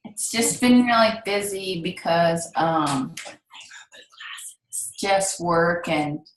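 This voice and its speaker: A woman in a group call, chatting casually in a slow, soft, easygoing way. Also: lowish voice, roomy sound, slight background noise, rough recording. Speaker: group member.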